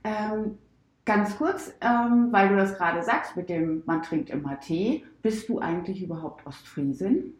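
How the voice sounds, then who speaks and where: A woman's voice: medium pitch, unhurried, reverberant. A woman co-hosting a podcast, asking curious questions with frequent hesitations. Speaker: podcast co-host. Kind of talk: podcast interview.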